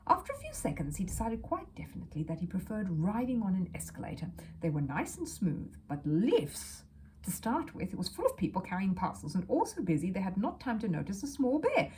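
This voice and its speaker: A woman reading a children's book aloud as a storyteller, expressive and dramatic, dropping into hushed asides. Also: medium pitch, slight background noise. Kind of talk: expressive children's audiobook narration.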